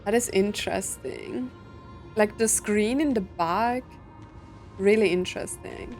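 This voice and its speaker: Female game streamer, mid-pitched, casual musing commentary, game music underneath. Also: a little slow, a little mellow. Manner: rambling, musing aloud.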